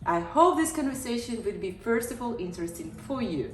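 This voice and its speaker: A woman hosting an interview, speaking in a measured way as she reads out astrology points. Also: fairly high pitch, lively intonation, recorded from a distance. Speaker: host and astrologer. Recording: echoey room, voice off-mic, faint noise.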